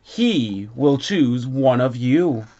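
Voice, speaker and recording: A man voicing an excited train conductor from a children's storybook, in an emphatic, proclaiming manner. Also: medium pitch, drawn-out words, voice pushed down. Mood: solemn. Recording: dull, lo-fi recording.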